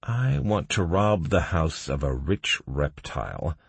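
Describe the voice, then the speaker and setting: Man playing an intense, animated fantasy game hero. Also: rumbling low, slow pace, vocal fry, slightly rough, dry recording, exaggerated voice. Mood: scheming.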